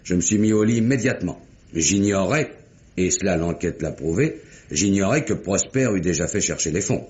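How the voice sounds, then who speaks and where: Low-voiced, aggrieved middle-aged banker. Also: male voice, lively intonation, normal speed, a little mellow. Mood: formal, explanatory. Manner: formal, declamatory. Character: aggrieved middle-aged male banker, formal and self-righteous. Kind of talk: old French radio mystery drama acting. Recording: muffled vintage radio recording.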